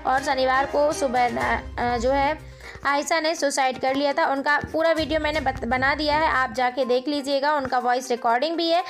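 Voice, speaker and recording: High-voiced woman presenting informal online news. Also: steady tone, moderate pace, faint music behind. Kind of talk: informal news narration addressed to viewers.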